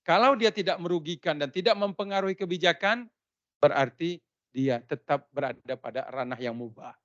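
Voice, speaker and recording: A male preacher from Lampung, Indonesia, giving a religious talk with lively anecdotes and frequent laughter. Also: fairly high pitch, normal speed. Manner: lively storytelling, laughs often. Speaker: Islamic preacher. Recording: dull lo-fi sound.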